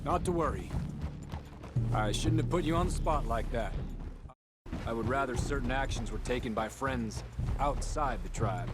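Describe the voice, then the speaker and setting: An actor playing a courteous, earnest army captain in a video game, with music behind. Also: male voice, medium pitch, moderate pace, long pauses, lightly acted.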